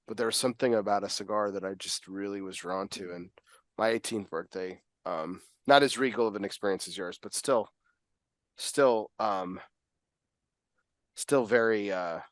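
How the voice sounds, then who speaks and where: Brief: a rambling, enthusiastic male host with a somewhat low voice, recorded dry and close. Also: no echo. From United States. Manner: enthusiastic, rambling, full of fillers and "you know". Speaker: podcast host. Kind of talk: casual conversational interview.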